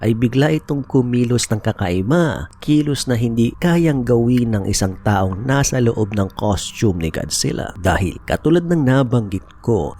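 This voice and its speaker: A man's voice: medium pitch, mellow. A Filipino man narrating a scripted horror explainer as a storyteller, mixing in English phrases. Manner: storytelling, switches between Tagalog and English phrases. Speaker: YouTube narrator.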